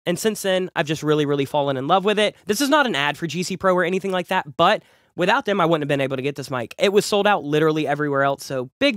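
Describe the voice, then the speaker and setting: A man's voice: fairly high pitch, a bit bright, no room sound. A young adult man hosting a casual gear review, enthusiastic and chatty and repeating words for emphasis, a bit nasal from a cold, talking close into a studio condenser. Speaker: music producer and YouTube host. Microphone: large studio condenser close up.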